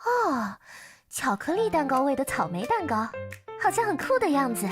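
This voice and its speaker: A woman's voice: sits high, very animated, moderate pace, dry recording, soft background music. A woman voicing a sweet, playful anime girl in a visual novel, with a cutesy, teasing manner. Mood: cheerful, coaxing. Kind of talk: visual novel character acting.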